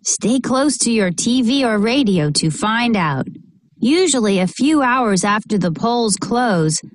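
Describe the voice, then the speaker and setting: A woman reading a children's picture book aloud in a measured, expressive way, narrating and acting out short bits of dialogue. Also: on the high side, no echo.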